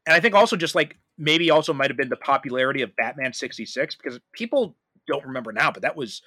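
A man's voice: medium pitch, expressive, fast, pushed and bright, no room sound. A man hosting a podcast, talking casually in a pop-culture discussion. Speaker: podcast host.

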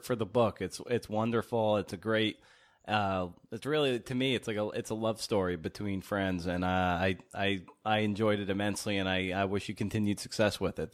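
A man hosting a podcast, talking casually to his guest and listeners. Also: lowish voice. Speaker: podcast host. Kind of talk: casual podcast interview and sign-off.

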